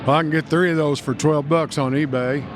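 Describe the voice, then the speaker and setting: A man's voice: on the high side, steady tone, fairly quick, a little hiss or hum. Folksy older Kentucky man. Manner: folksy, rambling, enthusiastic salesman. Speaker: guest, music store owner. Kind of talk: casual trade-show interview.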